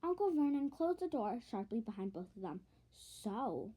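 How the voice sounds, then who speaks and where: A woman narrates a storybook in a high, soft-edged voice. Her reading is expressive and scripted, with an occasional stumble that she goes back over. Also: a little fast. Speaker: audiobook reader.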